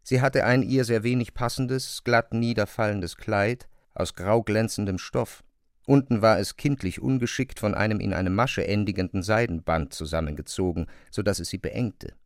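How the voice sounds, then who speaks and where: A man narrating a literary radio drama with measured, descriptive storytelling. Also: lowish voice, dry recording, studio quality.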